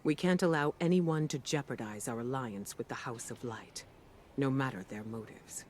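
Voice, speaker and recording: An actor playing a calm, resolute commander giving a grave, authoritative briefing in a game cutscene over music. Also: male voice, medium pitch, moderate pace, slight background noise, close to an everyday voice. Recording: music behind the voice. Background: cinematic music.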